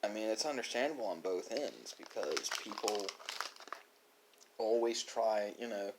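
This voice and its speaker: A man giving a reflective, philosophical monologue as an online host, speaking haltingly with drawn-out pauses. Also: fairly low pitch, lively intonation, background noise. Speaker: host of an ASMR mukbang channel.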